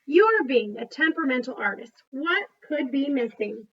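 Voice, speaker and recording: A woman's voice: sits high, sing-song, moderate pace, dull audio. A woman voicing a blunt, grumbling male dog in a read-aloud storybook, complaining with emphasis. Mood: exasperated.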